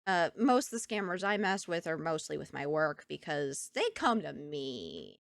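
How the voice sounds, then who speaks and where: A woman streamer chatting casually with her live chat, telling stories in an animated way and laughing often. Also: deep voice, moderate pace, creaky, dry recording, studio quality. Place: home streaming setup.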